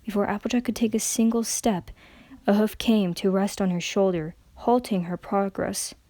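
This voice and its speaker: A woman narrates a story as a dramatic reading. Her voice is mellow and sits at a medium pitch, recorded very dry. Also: fairly even intonation, moderate pace, no room sound.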